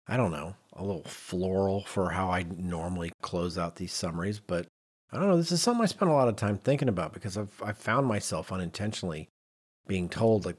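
A man's voice: low voice, breathy edge. A man hosting a podcast in a conversational, emphatic, self-deprecating way, moving between chat and read-out notes. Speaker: podcast host.

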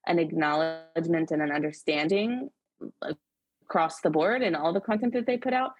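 A woman's voice: deep voice, moderate pace, breathy edge, dry recording. A woman guest, a social media and PR expert, speaking confidently and with emphasis in a podcast conversation. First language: English.

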